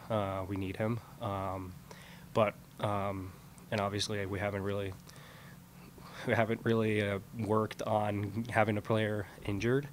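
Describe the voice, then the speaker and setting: A young man from Sweden, a college hockey player giving plain, measured post-game answers. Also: fairly low pitch, slight background noise. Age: young adult. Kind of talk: post-game press conference. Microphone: podium mic.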